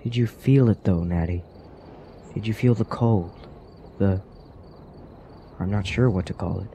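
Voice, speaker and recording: A man's voice: lowish voice, measured pace, mellow, a little hiss or hum. A man playing a troubled, doubting character in a fantasy radio drama, brooding and hesitant in his speech. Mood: uneasy.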